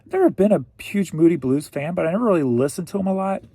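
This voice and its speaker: A man's voice: sits high, expressive, quick pace, mellow, husky, dry recording. A man in his forties from Missouri, chatting casually and jokingly to himself while packing online orders. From St. Louis, Missouri. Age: 40s. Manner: goofy, jokey, talks to himself. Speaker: online reseller.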